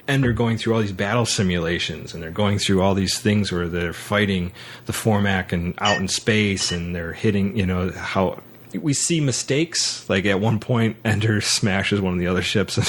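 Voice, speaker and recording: A man hosting a podcast, talking casually about a film and haltingly repeating words as he recaps. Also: fairly low pitch, slight background noise. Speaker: podcast host.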